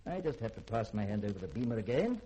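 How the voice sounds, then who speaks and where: An actor playing a learned, secretive middle-aged scientist in a 1950s radio drama, speaking in formal, polished, theatrical sentences with a husky edge. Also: male voice, lowish voice, sing-song, fast talker, heavy background noise. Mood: urbane.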